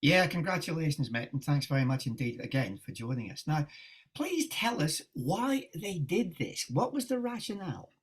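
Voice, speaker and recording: A man's voice: a little high, very animated, normal speed, distant mic. A man hosting a podcast interview, asking polite questions and laughing lightly now and then. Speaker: podcast host.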